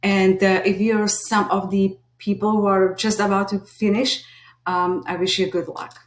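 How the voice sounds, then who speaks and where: A woman's voice: mid-range voice, level tone, unhurried. A middle-aged woman from Slovakia with a Slovak accent, giving an earnest, hesitant introduction of herself to a class. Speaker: teacher lead and behavior analyst. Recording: echoey room.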